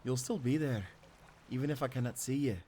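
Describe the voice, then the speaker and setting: An actor playing a devoted, playful sailor husband with a Scottish accent, speaking tenderly in old-fashioned seafaring language for a romantic audio roleplay. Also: male voice, mid-range voice, brisk, slight background noise, natural voice. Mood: devoted, solemn. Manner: tender, teasing, given to soft chuckles.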